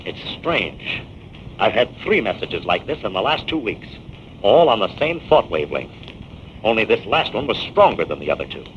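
A wry 1940s radio detective-scientist. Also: male voice, lowish voice. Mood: urgent. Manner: lively, wry, quick banter. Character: confident male scientist-detective, wry and eager. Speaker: lead actor. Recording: dull old radio transcription with faint hiss.

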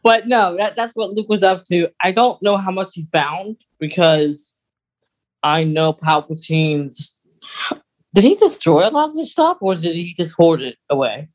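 Higher-voiced man, halting podcast co-host. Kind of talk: casual fan discussion.

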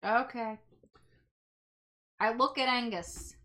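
A woman playing a tabletop game, talking quickly with sarcastic banter in and out of character. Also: a little high, pushed and bright. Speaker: player.

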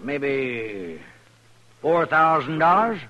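An actor playing a headstrong old rancher in a 1950s radio western, speaking in a slow, halting, stubborn way. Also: male voice, mid-range voice, big pitch swings, pushed and bright, highs cut off, exaggerated voice. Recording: noisy vintage radio recording.

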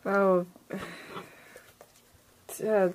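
Mid-pitched woman playing a tabletop game. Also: flat delivery, extremely slow, darkish tone, slight background noise. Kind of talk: casual in-character game chat.

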